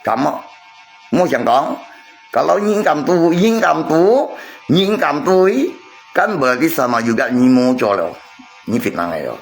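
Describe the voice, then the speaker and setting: A man's voice: fairly high pitch, sing-song, unhurried, gravelly, faint music behind. A man chatting casually on a livestream, repeating himself and arguing his point to viewers. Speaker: live streamer.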